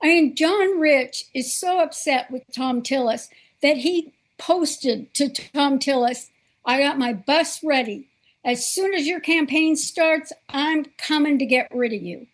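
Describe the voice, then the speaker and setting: A woman hosts a political talk show in an earnest, emphatic way. Her voice sits high, and she takes her time, leaning on each point deliberately.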